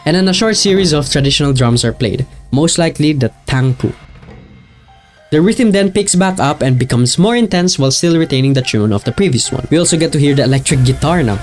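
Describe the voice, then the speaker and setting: A man's voice: mid-range voice, darkish tone. A man narrating a video essay in a casual, enthusiastic and informal way. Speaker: video creator. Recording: music behind the voice. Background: music with guitar.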